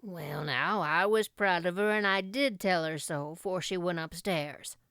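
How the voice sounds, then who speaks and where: A woman voicing a gentle, plain-spoken older countryman in an audiobook, with a homely, folksy manner. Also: on the low side, a little slow, clear, resonant tone, no echo, clean studio sound. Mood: gentle, proud. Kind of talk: audiobook character voice.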